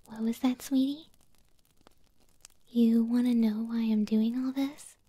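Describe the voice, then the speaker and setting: A woman's voice: on the high side, steady tone, slow pace, no room sound. Woman as whispering, doting yandere moth girlfriend. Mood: tender, obsessive. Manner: soft breathy whispering, sweet and cooing. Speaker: ASMR roleplay performer. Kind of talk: whispered ASMR roleplay. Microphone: close microphone.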